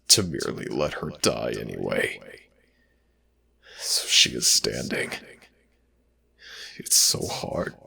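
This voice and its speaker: A sorrowful god of an audio roleplay, grave and compassionate. His voice is rock-bottom low and heavily creaky, with a harsh, husky edge. He speaks in halting phrases that often sink toward a whisper. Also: bright, forceful tone, full character voice. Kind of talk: ASMR audio roleplay, dramatic internal narration.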